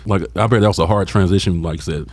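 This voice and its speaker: A man's voice: low-pitched, fast talker, dry recording. A man hosting a casual interview, reacting with eager exclamations. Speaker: interview host.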